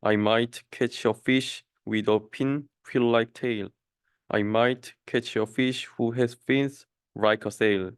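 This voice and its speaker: A man's voice: medium pitch, steady tone, takes it slowly, clear, resonant tone, dry recording, studio quality. A young man from South Korea, a university student, reading a rhyming children's book aloud. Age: young adult.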